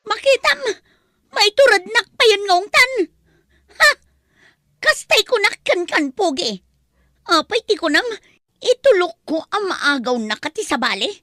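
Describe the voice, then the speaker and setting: A woman playing a jealous, suspicious girlfriend who scolds with sharp, demanding questions in an Ilocano comedy audio drama. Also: very high-pitched, expressive, measured pace, long pauses, no room sound, studio quality, exaggerated voice. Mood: angry. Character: jealous, nagging, suspicious girlfriend, quick to accuse.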